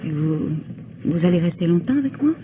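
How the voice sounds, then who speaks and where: An actress plays a reserved, skeptical young woman in an old French radio play. She speaks in a guarded, terse way. The old recording has clear noise behind her. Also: on the low side, animated, brisk, lightly acted. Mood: cool, wary.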